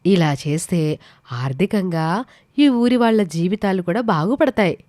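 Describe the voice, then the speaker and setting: A woman's voice: fairly low pitch, expressive, normal speed, mellow, no echo, clean studio sound, natural voice. A woman playing a scheming, sweetly persuasive middle-aged village mother in a moral story. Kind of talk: dramatised Telugu moral story.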